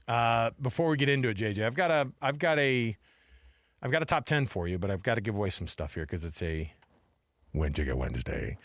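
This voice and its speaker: A man's voice: fairly low pitch, animated, brisk, no echo. An American man co-hosting a sports radio show with casual, chatty, colloquial banter. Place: radio studio. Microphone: close studio microphone.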